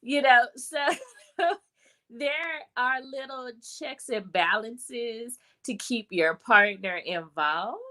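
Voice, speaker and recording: A woman's voice: fairly high pitch, animated, takes it slowly, sharp tone. A woman chatting casually on a livestream with a slight head cold, telling stories and acting out remarks. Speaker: live stream panelist.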